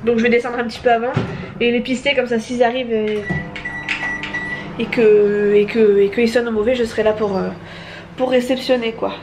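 A woman's voice: fairly high pitch, steady tone, brisk, pauses often, background noise. A woman in her thirties with a stuffy nose from a cold, chatting to a handheld camera at home in a rambling way. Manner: chatty, rambling, many restarts and fillers. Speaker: family vlogger. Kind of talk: casual vlog chat to camera. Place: apartment, indoors. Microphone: handheld camera at arm's length.

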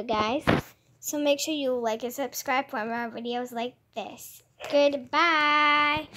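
A woman hosting a casual gaming video, chatting as she plays. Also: fairly high pitch. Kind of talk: casual gameplay chat. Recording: noisy home recording with clicks.

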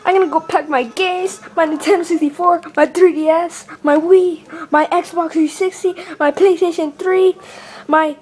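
A woman voicing a pushy, childish, excitable video game character in an improvised skit, with a whiny, slangy delivery. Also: very high-pitched, moderate pace, hardly any pauses. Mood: excited, pushy.

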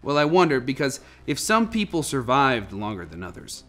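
A man playing a cautious, commanding scientist in a radio drama, deliberate and authoritative. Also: mid-range voice, huge pitch swings, a bit bright, soft background music. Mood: pondering. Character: cautious, commanding male scientist in a sealed protective suit.

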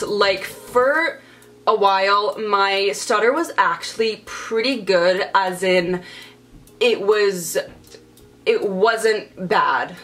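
A woman's voice: mid-range voice, a bit bright. A young woman vlogger chatting casually while doing her makeup in her bedroom, stuttering with repeated sounds and blocks. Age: young adult. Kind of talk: casual get-ready-with-me chat. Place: bedroom, indoors.

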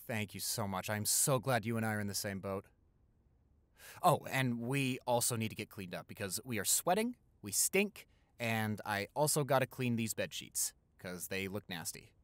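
A man's voice: medium pitch, no echo, close to an everyday voice. A man playing an affectionate, easily flustered young boyfriend in an improvised intimate roleplay, chatty and tender, stammering when flustered.

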